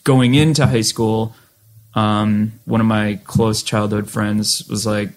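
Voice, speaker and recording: A man's voice: on the low side, little pitch movement, slow. A man in his thirties with an American accent, chatting casually as an interview guest in a rambling, slangy way about his past. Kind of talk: casual reminiscing chat.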